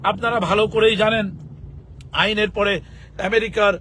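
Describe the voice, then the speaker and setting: A man with a Bangladeshi accent giving an emphatic political address to followers in halting short phrases. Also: high voice, little pitch movement. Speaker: political activist and legal expert. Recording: loud outdoor urban traffic noise.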